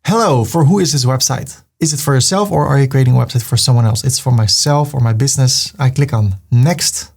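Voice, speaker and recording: A man's voice: mid-range voice, brisk, creaky, few pauses. A man with a Dutch accent, from the Netherlands, giving a screen-recorded walkthrough step by step and reading the on-screen prompts aloud. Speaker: web tutorial host.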